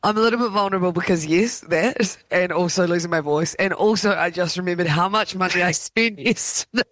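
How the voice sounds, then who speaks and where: A woman, co-hosting a radio show in casual banter, her voice going hoarse, laughing mid-sentence. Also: on the low side, brisk, a bit bright, no echo. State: losing her voice. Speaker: radio host.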